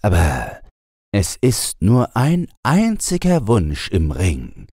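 A man voicing a solemn, grateful young eagle in a storybook, speaking in a measured way. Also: fairly low pitch, wildly swooping pitch, darkish tone, slightly rough, no echo, studio quality, voice pushed up, full character voice.

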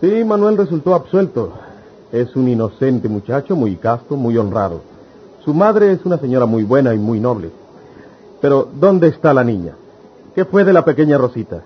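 An actor playing an indignant, sarcastic villager in an old-fashioned radio serial, declamatory and biting. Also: male voice, mid-range voice, very animated, moderate pace, close to an everyday voice. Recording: dull lo-fi radio serial with noise.

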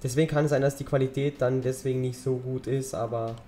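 Teen boy gamer, a bit hoarse. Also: medium pitch, steady tone, fast, mellow. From German-speaking Europe. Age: teens. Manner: rambling, chatty, talks while gaming. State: slightly hoarse from a cold. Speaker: gaming channel host. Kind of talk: casual let's-play commentary.

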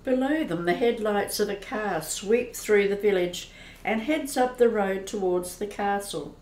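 A woman giving measured, descriptive narration for a scripted radio play. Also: medium pitch, a little mellow. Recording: echoey room.

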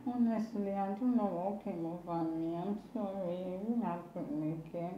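A woman's voice: fairly low pitch, normal speed, highs cut off, lightly acted. Pleading, contrite woman in echoey room, acted. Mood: remorseful, pleading. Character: contrite young woman begging forgiveness. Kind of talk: film drama acting.

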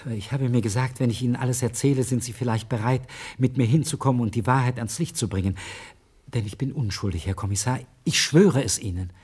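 Husky, anxious village schoolteacher played by a man. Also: mid-range voice, a little mellow, lightly acted. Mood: pleading. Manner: halting, nervous, earnest. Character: anxious, hesitant village schoolteacher, a married man under suspicion. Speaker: actor in a radio crime play. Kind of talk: German radio play acting.

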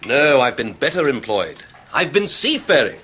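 An actor playing a breezy, self-assured young English gentleman, teasing and flamboyant, in a radio drama. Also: medium pitch, sing-song, moderate pace, slight background noise. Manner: flamboyant, drawling banter. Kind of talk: 1990s British radio drama acting.

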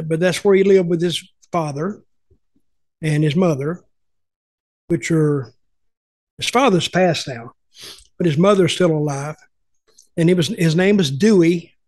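A man's voice: a little high, no room sound. An older man, telling folksy, opinionated stories in casual chat over a video call from home. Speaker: podcast host and storyteller.

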